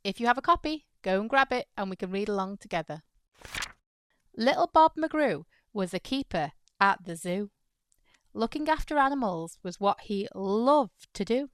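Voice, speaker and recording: A woman hosting a children's storytime, reading a picture book aloud in an expressive, playful way. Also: medium pitch, normal speed, no echo, clean studio sound. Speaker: children's storytime host and narrator. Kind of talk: animated read-aloud narration of a picture book.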